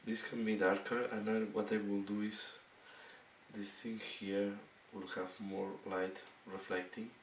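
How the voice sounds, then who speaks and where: A man with a hoarse, fading voice giving a step-by-step painting tutorial in halting, broken phrases. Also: lowish voice, flat delivery. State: hoarse, losing his voice. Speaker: miniature painting instructor. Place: indoor hobby painting desk. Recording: roomy, distant mic with faint noise.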